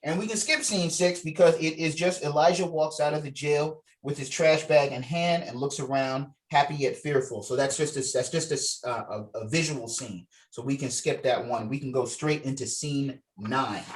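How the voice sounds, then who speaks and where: A man leading a table read, mixing informal rehearsal talk with reading out stage directions. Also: a little high. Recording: distant mic on online call.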